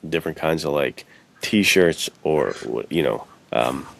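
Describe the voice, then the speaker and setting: A man with an exceptionally low, deep voice chats as a podcast co-host. His delivery is informal and conversational, with a keen interest in what he is discussing. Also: a little slow, creaky. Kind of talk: casual podcast chat about film and menswear.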